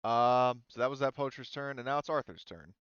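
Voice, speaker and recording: Clear-voiced man, dry slightly muffled sound. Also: medium pitch, fairly even intonation, a little fast, fairly bright tone, dry recording. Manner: casual, sweary. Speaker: game master. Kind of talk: running an online tabletop roleplaying game.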